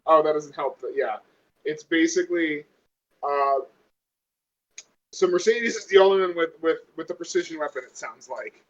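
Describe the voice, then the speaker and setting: A man's voice: a little high, moderate pace, pauses often, lo-fi sound. A man playing a tabletop roleplaying game, chatting casually and thinking aloud in a hesitant way.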